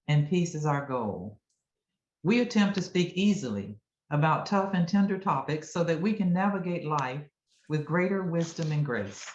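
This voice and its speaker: A woman reciting a community's mission statement in a measured, solemn way. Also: sits low. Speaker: community member reading the mission. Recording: dull echoey room recording.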